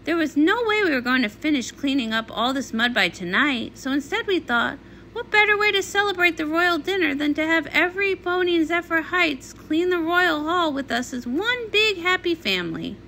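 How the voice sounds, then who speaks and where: A woman performs a comic read-along in a high, bright voice. The reading is theatrical and swings widely between characters, over faint background noise. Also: lively intonation, moderate pace.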